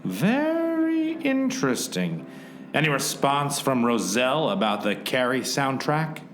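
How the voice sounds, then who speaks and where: A man's voice: medium pitch, big pitch swings, a little slow, a bit bright. A man playing a hard-boiled, brooding private eye who narrates and talks wryly in a noir radio play. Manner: melodramatic, wry. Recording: roomy echo, faint music bed. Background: faint music.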